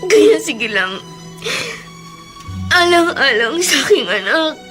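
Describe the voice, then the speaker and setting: An ailing mother in a Filipino radio drama, plaintive and tearful. Her voice is very high and she speaks slowly, with faint music underneath. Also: sing-song, a bit bright, exaggerated voice. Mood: tearful, resolute. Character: ailing, tearful mother home from working abroad.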